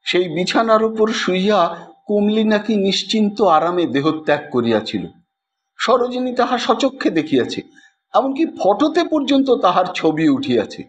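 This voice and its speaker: A man narrating a literary story in an expressive, theatrical manner, as the host of an audio story series. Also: high-pitched. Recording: dull lo-fi track with faint noise.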